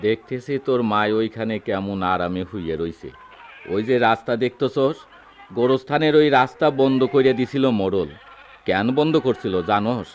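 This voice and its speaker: A man's voice: on the low side, lively intonation, moderate pace, a little hiss or hum, close to an everyday voice. An actor playing an aggrieved, fiery old village father and widower in a Bengali radio drama, lamenting emotionally in a rural Bangladeshi dialect. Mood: bitter, sorrowful.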